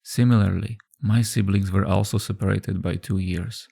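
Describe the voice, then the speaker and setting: A man reading a scripted horror story as a narrator, in a measured, subdued storytelling manner. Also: sits low, steady tone, creaky, warm tone. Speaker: creepypasta narrator. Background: background music.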